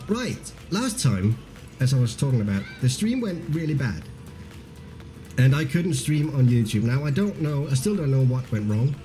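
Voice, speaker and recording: A man's voice: mid-range voice, sing-song, normal speed, mellow, faint music behind. A Danish man with a mixed accent that is hard to place, streaming a game live and chatting loosely with viewers, full of fillers and restarts. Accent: mixed, hard to place, with a Danish base. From Denmark. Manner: reads viewer comments aloud and laughs easily.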